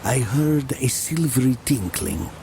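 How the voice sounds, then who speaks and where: An actor playing a brooding, jealous young man, narrating his past in a slow, hushed audio drama style. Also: medium pitch, animated, gravelly, background noise. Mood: brooding, haunted. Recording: music behind the voice.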